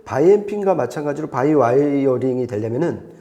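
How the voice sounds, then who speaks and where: A man's voice: mid-range voice, expressive, brisk, dark tone. A man speaking as an audio expert, explaining things conversationally and step by step, often repeating points for clarity. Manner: didactic, explains step by step with repetition.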